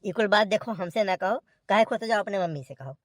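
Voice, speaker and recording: A woman's voice: medium pitch, lively intonation, quick pace, no room sound, studio quality, lightly acted. A woman voicing a weary, grumbling elderly father in a cartoon. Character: exasperated elderly father, trying to calm the family.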